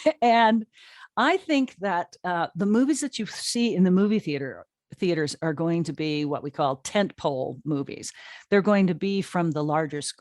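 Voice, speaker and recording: A woman's voice: on the low side, normal speed, darkish tone, dry recording, clean studio sound. A woman giving conversational interview answers in an enthusiastic, emphatic and informal way. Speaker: interview guest, audio drama streaming co-founder.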